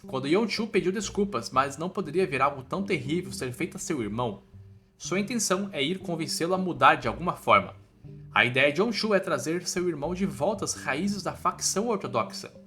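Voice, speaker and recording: Lively, bright-voiced Brazilian man narrating. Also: sits high. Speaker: recap narrator. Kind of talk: scripted story narration with slangy asides.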